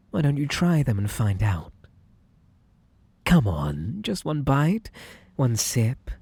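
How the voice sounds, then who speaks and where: Breathy, possessive British bunny boy, acted by a man. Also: mid-range voice, a little mellow, no room sound, full character voice. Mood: coaxing, pleading. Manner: theatrically polite, coaxing, drawn-out delivery with menacing undertone. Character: possessive, unhinged magical bunny boy tea-party host. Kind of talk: ASMR roleplay acting.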